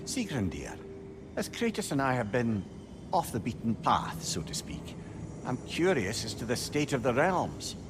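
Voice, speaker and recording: Lively, wordy old Scottish sage. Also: male voice, mid-range voice, a little slow, heavy background noise. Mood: chatty, courtly. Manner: loquacious, courtly, old-fashioned phrasing. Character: witty, wordy, tender old sage, male. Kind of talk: video game cinematic acting. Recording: game music behind the voice.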